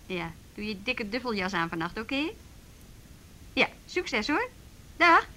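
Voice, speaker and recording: An actress playing a busy, wry youth police officer in a naturalistic Dutch radio drama. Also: female voice, medium pitch, sing-song, slow, bright, forceful tone, exaggerated voice. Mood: brisk, friendly. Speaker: actor in a radio play.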